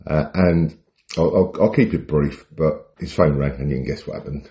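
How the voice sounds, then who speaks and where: A man's voice: extremely low pitch, moderate pace, warm tone, dull audio. A British man chatting casually as a podcast co-host, telling anecdotes in a wry, rambling way.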